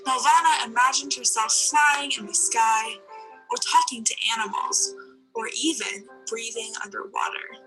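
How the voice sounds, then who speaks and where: A woman's voice: fairly high pitch, rough recording. A woman narrating a children's storybook aloud. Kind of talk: children's story read-aloud with character dialogue.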